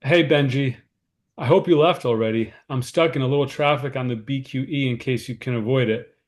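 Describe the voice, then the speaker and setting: A man's voice: medium pitch, brisk, close to an everyday voice. A man acting the part of a harried, considerate cousin, earnest and slightly fretful, in a screenplay read-through. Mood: anxious, upbeat. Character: David, harried, anxious, considerate male cousin. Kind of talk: informal screenplay table read, naturalistic dialogue.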